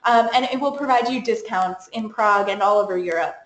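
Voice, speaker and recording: A woman's voice: medium pitch, fairly quick, echoey room, rough recording. A woman from the United States, a program coordinator giving practical, chatty advice in a webinar presentation.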